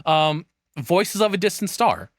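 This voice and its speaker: A man's voice: sits high, sing-song, a little slow, no room sound. A man doing casual, rambling reaction commentary as a streamer, informal, profane and opinionated, speaking into a close microphone.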